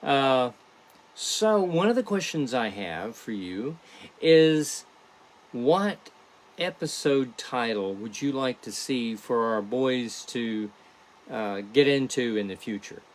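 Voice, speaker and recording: A man's voice: medium pitch, expressive, takes it slowly. A man talking casually as a podcast host, in a rambling, folksy way, giving a behind-the-scenes chat.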